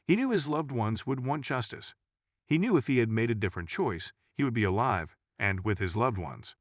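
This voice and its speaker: A man's voice: mid-range voice, expressive, normal speed, dry recording, studio quality. A man reading a scripted first-person paranormal story as a narrator.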